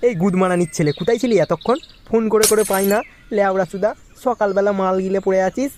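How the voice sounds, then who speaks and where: A man plays a crabby, foul-mouthed young cartoon fellow with a very high voice. He is abrasive and bossy. The recording has clear noise behind it. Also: fast talker, a little mellow, natural voice. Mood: angry. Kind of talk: comic cartoon dubbing.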